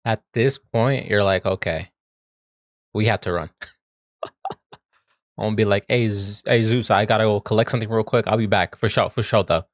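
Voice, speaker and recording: A man's voice: lowish voice, normal speed, long pauses, dry recording, studio quality. A man giving casual reaction commentary in a slangy, joking manner. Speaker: reaction video host.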